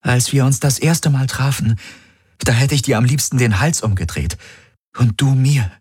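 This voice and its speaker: A man's voice: mid-range voice, normal speed, a little mellow, breathy edge, dry recording, studio quality, pitched above the performer's own voice. A man voicing a tearful alien woman who urgently pleads with someone to remember her. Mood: urgent pleading.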